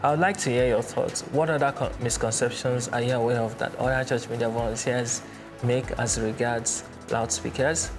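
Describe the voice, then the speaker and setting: Man explaining clearly over background music. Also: medium pitch, fairly even intonation, moderate pace. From Nigeria. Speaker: presenter, church sound instructor. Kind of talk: explainer with everyday analogies.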